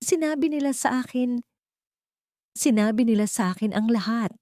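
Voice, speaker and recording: A woman's voice: on the high side, moderate pace, warm tone, no room sound, studio quality, pitched above the performer's own voice, close to an everyday voice. A woman voicing a betrayed young man who speaks with pain and accusation, in an audio love drama. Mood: pained, confused. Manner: pained, accusing. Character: hurt, betrayed young man confronting his lover.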